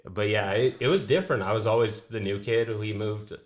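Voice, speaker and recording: A man's voice: lowish voice, brisk. A man from Canada, a guest on a podcast, chatting casually and laid-back about growing up all over the place. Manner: laid-back, self-described introvert.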